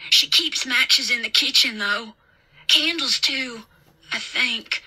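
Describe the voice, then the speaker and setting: A woman's voice: fairly high pitch, slow, pushed and bright, slightly rough, pitched above the performer's own voice, full character voice, rough recording. A woman voicing a terrified, pleading country boy in an audiobook story, with a panicky, stammering manner. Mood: anxious. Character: terrified pleading country boy, child, shrieking for his mother.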